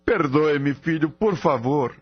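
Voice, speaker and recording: A man acting in a radio drama as a guilt-ridden father, grave and remorseful, with a husky, gravelly voice. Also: fairly high pitch, takes it slowly. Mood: remorseful confession. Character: guilt-ridden father confessing a cruel deed. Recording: dull lo-fi radio drama, faint music.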